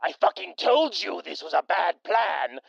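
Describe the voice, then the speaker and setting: A woman plays a jittery raider underling in a wasteland radio play. The voice cracks with fry and leaps wildly in pitch, frantic and whining. The sound is somewhat dull. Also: sing-song, measured pace, full character voice. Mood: panicked, exasperated. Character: panicky raider sidekick, cowed and pleading, prone to shouting. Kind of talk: post-apocalyptic audio drama acting.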